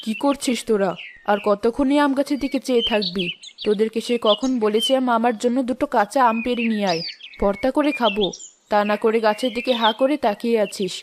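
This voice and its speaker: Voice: mid-range voice, fairly quick, background noise, close to an everyday voice. A performer voicing a bossy old mother-in-law who scolds her family in a cartoon story. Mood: scolding. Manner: scolding, alarmist.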